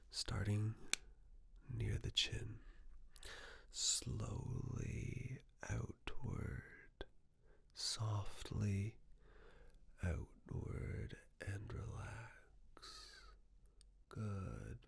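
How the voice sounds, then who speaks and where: A person whispering an ASMR personal-attention roleplay, giving gentle, soothing step-by-step instructions in a breathy, mostly unvoiced voice. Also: low-pitched.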